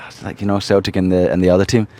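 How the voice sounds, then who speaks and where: A man with a Glasgow Scottish accent, a podcast guest talking casually, often pausing and restarting his sentences. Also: deep voice, little pitch movement, dark tone. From Scotland. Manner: hesitant, many restarts and fillers. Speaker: podcast guest, charity founder. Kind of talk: casual interview chat.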